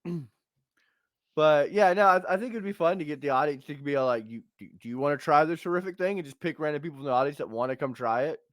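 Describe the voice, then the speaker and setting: A man's voice: on the high side, fast talker, dry recording, clean studio sound. An American man co-hosting a livestream podcast, joking and rambling in casual unscripted talk, often repeating himself. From United States.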